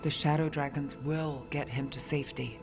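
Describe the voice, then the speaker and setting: Game detective: tough, cynical, clipped and firm, music behind. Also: female voice, sits low, steady tone, normal speed. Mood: reassuring. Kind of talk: dramatic game acting.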